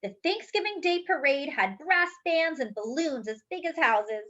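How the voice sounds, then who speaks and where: A woman's voice: high voice, normal speed. A woman reading a picture book aloud expressively, acting out the dialogue and adding chatty asides. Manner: animated, acts out the dialogue. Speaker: children's storybook reader. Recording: dull lo-fi recording.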